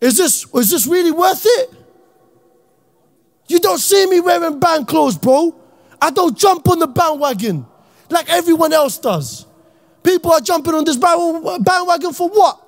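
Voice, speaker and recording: A man from Iraq, giving an impassioned, slang-heavy talk to young people as an Islamic speaker and often shouting. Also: extremely high pitch, lively intonation, measured pace, creaky. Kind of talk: impassioned motivational talk to youth.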